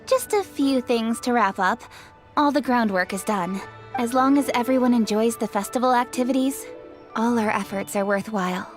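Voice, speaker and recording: An actress voicing a composed, dutiful female official with a poised, measured manner. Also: fairly high pitch, soft background music, natural voice.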